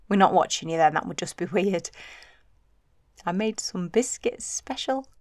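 Actress as a chatty British wartime mum, close and dry. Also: female voice, medium pitch, no room sound, natural voice. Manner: chatty, playful, folksy asides. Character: chatty, wry young 1940s wartime mother, warm and homely. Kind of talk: intimate audio drama monologue addressed to the future.

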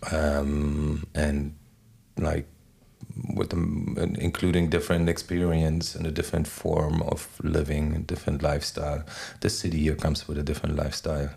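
A man in his forties with a German accent, giving halting, reflective answers as an interview guest, often searching for words. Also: rumbling low, level tone, creaky, darkish tone. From Berlin, Germany. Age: 40s. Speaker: podcast guest, DJ.

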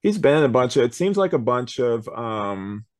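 A man's voice: medium pitch, sing-song, normal speed, a little mellow, no echo, studio quality. A man hosting a podcast, chatting casually about a review and rambling as he thinks aloud. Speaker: podcast host.

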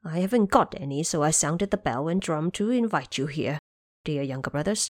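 A woman's voice: sits low, lively intonation, normal speed, darkish tone, no echo, studio quality. A woman voicing an anxious, courteous old male dragon king in an audio drama, pleading and hesitant. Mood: weary warning.

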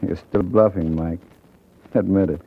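A man's voice: low-pitched, steady tone, unhurried, creaky, slightly rough, heavily acted. An actor playing a smug, slippery male racketeer, oily and taunting, in an old-time radio drama. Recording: dull vintage radio recording.

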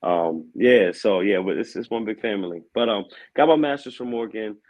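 A man's voice: fairly low pitch, normal speed, a little mellow. A man in his thirties, a vocal coach and assistant pastor, chatting easily as a guest and often saying "man". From Aberdeen, Maryland. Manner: easygoing, laughs often, says "man" a lot. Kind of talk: casual podcast chat.

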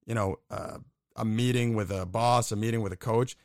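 A man's voice: fairly low pitch, steady tone, normal speed, dry recording, clean studio sound. A young man from the Buffalo, New York area, co-hosting a casual podcast and telling stories candidly, swearing now and then. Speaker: podcast co-host.

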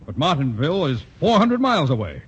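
A blustering pompous principal. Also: male voice, on the high side, big pitch swings, brisk, breathy edge, exaggerated voice. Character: pompous blustering middle-aged school principal. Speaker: school principal. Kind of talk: 1940s radio sitcom acting. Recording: dull lo-fi vintage radio broadcast.

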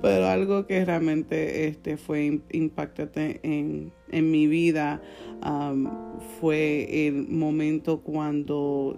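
Young woman, Puerto Rican accent, mellow relaxed tone, casual testimonial chat, soft guitar music behind. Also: deep voice, creaky, highs cut off. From born in Puerto Rico, raised in the United States. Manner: cheerful, given to laughing. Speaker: podcast co-host, church worship leader.

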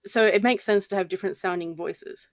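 A woman with a slight lisp and a fairly deep voice, chatting hesitantly as a podcast guest. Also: fairly even intonation, fairly quick, dry recording. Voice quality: voice on the deeper end for a woman. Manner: soft-spoken, hesitant with frequent ums. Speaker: guest narrator. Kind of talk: casual podcast chat.

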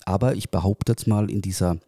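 Husky, dark-voiced German host. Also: male voice, lowish voice, brisk, no echo, studio quality. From Germany, Kirrlach. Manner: relaxed, conversational questioning. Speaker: podcast host. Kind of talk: casual podcast interview.

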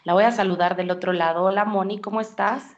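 A woman's voice: mid-range voice, fairly even intonation, fast talker. A woman co-hosting a podcast, chatting in a friendly, eager way and asking the guest questions. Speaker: podcast co-host. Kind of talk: casual podcast chat. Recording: slightly dull lo-fi audio.

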